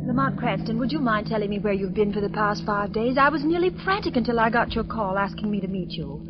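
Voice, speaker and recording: An actress playing an anxious, devoted companion of a crime-fighter in an old radio drama. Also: female voice, mid-range voice, lively intonation, fast talker, lightly acted. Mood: concerned, questioning. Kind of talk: 1930s-40s radio drama acting. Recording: muffled, noisy old radio recording.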